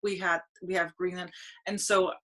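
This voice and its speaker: A woman, hosting a spiritual podcast over a video call, speaking earnestly with halting restarts. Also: on the low side, level tone, fairly bright tone. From Denmark. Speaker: podcast host. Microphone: video call webcam.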